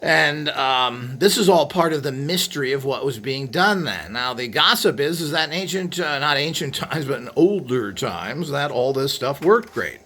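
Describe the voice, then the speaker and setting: A man with a mid-pitched voice presents a piece of vintage equipment off the cuff. He rambles through his commentary and gives blunt opinions as he goes. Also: lively intonation, moderate pace, hardly any pauses, pushed and bright. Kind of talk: unscripted product demonstration commentary.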